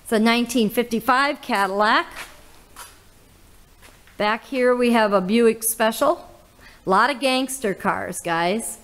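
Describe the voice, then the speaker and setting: A woman, hosting a casual auction walkthrough in an old barn full of cars, listing items with little "uh" pauses. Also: fairly high pitch, fairly bright tone. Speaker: auctioneer host giving a car walkthrough. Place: inside old barns and sheds full of cars.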